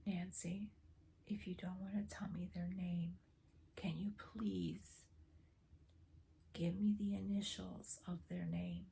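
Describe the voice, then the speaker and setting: A woman talks mostly in a hushed whisper, slow and even, her voice low and flat as she gently pleads and coaxes. The recording is made at home, with a faint hiss underneath. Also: vocal fry. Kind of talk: spirit communication vlog.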